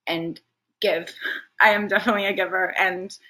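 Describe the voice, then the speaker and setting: A woman's voice: mid-range voice, low-quality recording. A woman from Venezuela with Spanish as her native language, talking casually and expressively as an interview guest. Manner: chatty, expressive, quick to laugh. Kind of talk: casual conversational interview, personal stories.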